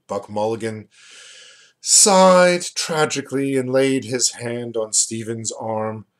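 A man in his fifties reading a novel aloud at home in a deliberate, dramatized way. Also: medium pitch. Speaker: reader, poet and book dealer. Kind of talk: expressive literary reading aloud. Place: small indoor room at home.

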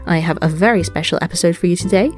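Woman doing a scripted podcast intro, lowish voice, bit of guitar music behind. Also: fast talker, darkish tone. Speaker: podcast creator introducing an episode. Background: plucked guitar music.

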